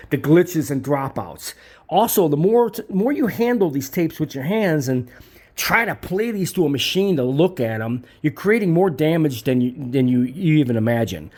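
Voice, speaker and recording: A man with a somewhat high-pitched voice talks informally as the owner of a service business. He is blunt and emphatic, repeats himself to press his point, and uses salty everyday language in a promotional talk. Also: darkish tone.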